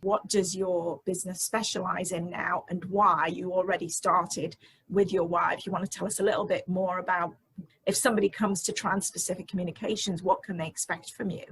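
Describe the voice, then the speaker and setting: A woman's voice: fairly low pitch, little pitch movement, brisk, a bit bright, a little hiss or hum, rough recording. A woman hosting an interview, responding with enthusiasm and asking questions. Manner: enthusiastic, encouraging. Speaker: interview host.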